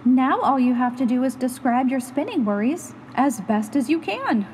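A woman reading a children's picture book aloud in an animated, instructive, expressive way. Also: high-pitched, moderate pace, in a large hall, slight background noise, low-quality recording. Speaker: storytime reader and host.

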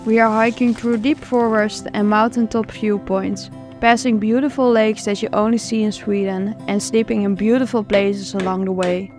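A woman's voice: medium pitch, steady tone, normal speed, few pauses, darkish tone, soft background music. A cheerful young woman vlogging a long hike, chatting on the trail and narrating. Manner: cheerful, enthusiastic. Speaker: hiking vlogger. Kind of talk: travel vlog narration and trail chat. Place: outdoors on a forest trail.

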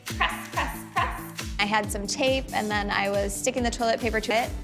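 A bubbly, enthusiastic woman in her thirties, a contestant on a reality show. Her voice sits a bit high. Clear music runs under the interview. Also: animated, moderate pace. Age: 30s. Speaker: contestant, yoga instructor.

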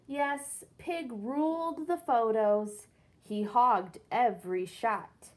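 A woman's voice: fairly high pitch, takes it slowly. A woman in her thirties, a teacher reading a picture book expressively and explaining it simply for young children. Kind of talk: picture-book read-aloud with questions to children. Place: indoors, small room against a brick-patterned wall.

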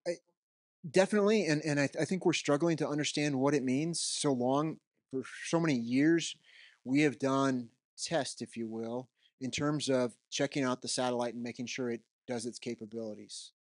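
A man's voice: medium pitch, moderate pace, dry recording, clean studio sound. Roaming middle-aged man at a handheld mic. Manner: conversational, roams the stage, frequent "right?" tags. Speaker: keynote speaker. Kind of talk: unscripted keynote talk. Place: conference hall with audience. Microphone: handheld microphone.

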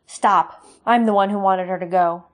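A woman's voice: mid-range voice, moderate pace, natural voice. A woman voicing a young village woman who speaks up firmly for herself. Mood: resolute. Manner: pleading, then calm and decided. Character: young village woman, tearful but resolute.

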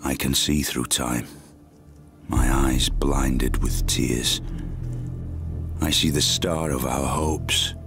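A man giving solemn, scripted narration for a game trailer, grave and measured. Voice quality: slow, grave and deep. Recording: over loud orchestral music.

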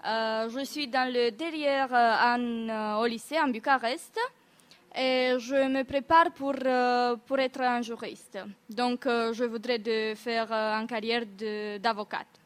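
A woman's voice: fairly high pitch, steady tone, slow, clean tone. An eighteen-year-old woman from Romania speaking French with a Romanian accent, careful and hesitant, with many fillers. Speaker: conference panelist. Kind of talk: public talk in a second language.

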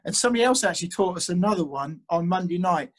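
A man's voice: high voice, animated, quick pace. A man hosting a diving podcast, asking long, rambling questions in a friendly way. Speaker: podcast host. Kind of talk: casual interview chat.

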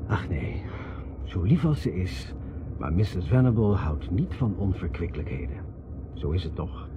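A man's voice: sits low, very animated, moderate pace. An actor playing an earnest, persuasive man in a radio drama, in a conversational way. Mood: questioning. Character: Ted, earnest persuasive middle-class American man. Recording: noisy, muffled old radio drama recording.

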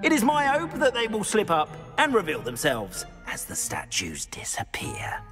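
A nervous, fussy middle-aged caretaker in a video game, fretting and apologizing as he goes. His voice sits high and leaps wildly in pitch. Game music plays clearly behind him. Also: huge pitch swings, moderate pace. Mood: frightened, indignant. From Korea. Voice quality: fretful, apologetic, theatrical. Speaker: school caretaker.

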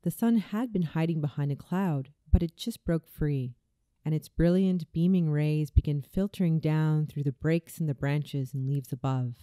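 A woman reading a scripted guided visualization in a soothing, deliberate way, as a meditation narrator. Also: low voice, warm tone, no room sound. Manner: soothing, slow, deliberate delivery.

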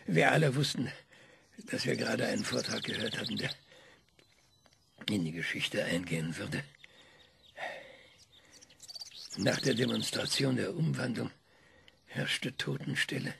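A man's voice: lowish voice, moderate pace, vocal fry, long pauses, noisy background. A man playing an aging, bitter and ironic scientist in a radio drama, speaking in a sardonic tone. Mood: reminiscing. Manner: sardonic, lively intonation. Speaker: scientist being interviewed.

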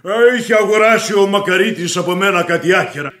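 An actor playing a hot-tempered, indignant landowner who rants and repeats himself in a classic radio theatre style. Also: male voice, high voice, fairly bright tone. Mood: polite, pressing. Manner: exclamatory, repetitive ranting.

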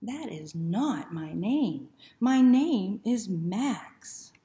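An indignant storybook dog, acted out by a woman, protesting with slow, emphatic exclamations. He is easily flustered, and the room adds a clear echo. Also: mid-range voice, animated, mellow. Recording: roomy indoor echo.

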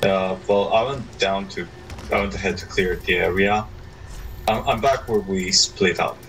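A very low male voice, worn out from a long day, chats loosely with a friend during a co-op game. He answers in short, relaxed bits, and a faint hiss runs under the voice chat. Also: measured pace, gravelly, rough recording. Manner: laid-back, tired, short replies. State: tired. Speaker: co-op gaming partner. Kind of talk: casual voice chat while gaming.

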